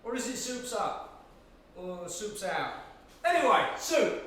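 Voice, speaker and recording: A man's voice: sits high, very animated, takes it slowly, a bit bright, exaggerated voice. A man acting an older, coaxing Cockney ex-soldier in a stage play, full of jokey slang and fatherly fussing. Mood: fussy, chirpy. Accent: London Cockney. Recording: echoey room, distant mic, faint hiss.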